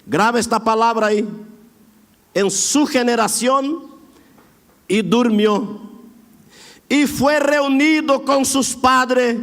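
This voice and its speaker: A middle-aged man preaching an emphatic, drawn-out sermon in Argentine border Spanish with a Brazilian-influenced accent. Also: very high voice. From border area between Argentina and Brazil. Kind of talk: Pentecostal sermon. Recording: echoey hall with long reverb.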